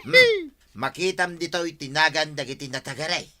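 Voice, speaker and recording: A man's voice: on the high side, wildly swooping pitch, moderate pace. A man with an Ilocano accent playing an eager, tattling village assistant in a radio comedy drama. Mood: gossipy, eager.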